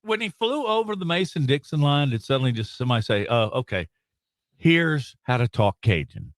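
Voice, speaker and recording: A man's voice: mid-range voice, sing-song, brisk, no room sound. Man, Southern accent, sports radio co-host, mocking, laughing, dry studio sound. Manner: indignant, mocking, laughs often. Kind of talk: casual chat.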